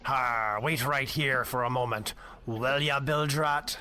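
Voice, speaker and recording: A man playing a gruff, scheming pirate first mate with a pirate accent and hearty slangy drawl in an amateur audio drama. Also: mid-range voice, slow, sharp tone. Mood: hearty, sly. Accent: put-on pirate.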